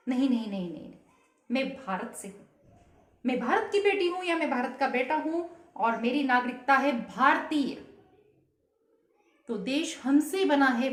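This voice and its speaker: A woman's voice: sits high, roomy sound. A woman vlogger giving an earnest patriotic speech to camera, asking many rhetorical questions.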